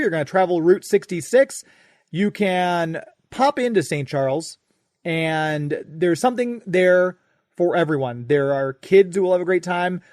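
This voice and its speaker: An American man hosts a radio show in a chatty, informal style. His voice sits a little high, and the sound is dry and close, with no room to it. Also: darkish tone, no room sound. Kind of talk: conversational ad read and interview.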